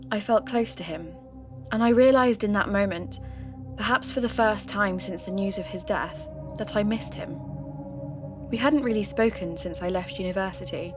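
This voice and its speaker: A woman playing a radio host turned investigator, giving measured, reflective first-person narration for a scripted podcast drama. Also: medium pitch, natural voice. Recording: music under the voice.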